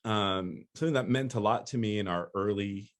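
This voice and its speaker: A poet answering audience questions in a relaxed, loose way, with lots of fillers. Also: male voice, fairly low pitch, moderate pace. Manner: relaxed, many "you know" fillers, laughs easily. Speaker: featured speaker, poet. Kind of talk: casual talk and Q&A.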